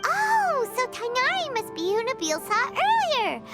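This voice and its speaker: A squeaky excitable tiny girl companion. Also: measured pace, loud music behind the voice, heavily acted. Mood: excited.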